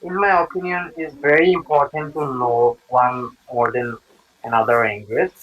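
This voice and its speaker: A young man from Bangladesh, a native Bangla speaker, answering practice test questions in halting English with frequent pauses and fillers. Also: mid-range voice, flat delivery, muffled recording. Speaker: test candidate.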